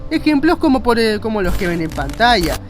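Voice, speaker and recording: A man's voice: sits high, big pitch swings, fairly quick. A man narrates an informal explainer. He rambles conversationally and drops in self-mocking asides. Faint music plays behind his narration. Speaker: video narrator and graphic designer.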